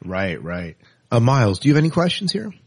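A man's voice: medium pitch, very animated, a little fast, gravelly, breathy edge. A man hosting a podcast interview, asking questions and answering with short affirmations. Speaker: podcast host. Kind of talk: interviewing a guest.

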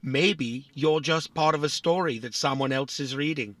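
An actor playing a small, vain, philosophical robot in a family audio drama, boastful and politely fussy. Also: male voice, fairly high pitch, normal speed, a bit bright, dry recording, natural voice. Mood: vain, musing.

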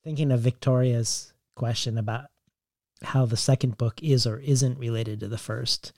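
A man interviewing a poet, asking a long, reflective question in a measured, hedging way. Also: medium pitch, steady tone, dark tone, no echo, clean studio sound. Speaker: interviewer, podcast host.

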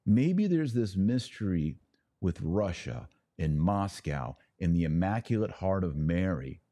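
A man's voice: deep voice, mellow, dry recording. A man hosting a podcast, giving unscripted religious commentary in an emphatic way, repeating phrases for weight.

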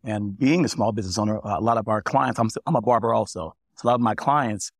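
A man's voice: fairly low pitch, fast, no room sound, studio quality. A man who owns barbershops, speaking plainly on a panel discussion and telling stories from his shop. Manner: plainspoken, anecdotal. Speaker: barber and small business owner.

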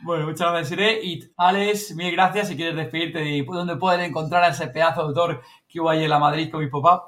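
Higher-voiced Spanish man hosting a chat. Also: fairly even intonation, quick pace, fairly bright tone, a little hiss or hum. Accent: Spain. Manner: chatty, informal. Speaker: podcast host. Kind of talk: casual podcast chat.

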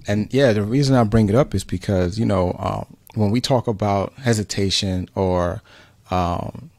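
Low-voiced male host, dry sound. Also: dry recording. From New York City. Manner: earnest, reflective questioning. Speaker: interview host. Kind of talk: casual conversational interview.

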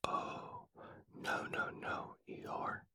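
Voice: very slow, no echo. Pooh, a kind cheerful bear, whispered by a reader. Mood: eager whisper. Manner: soft whisper. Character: cheerful honey-loving bear, simple and kind.

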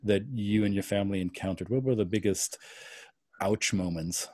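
A man's voice: lowish voice, steady tone, normal speed, darkish tone, no echo. A man hosting an interview, asking loosely phrased, musing questions. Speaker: podcast host. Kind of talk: interviewing a guest.

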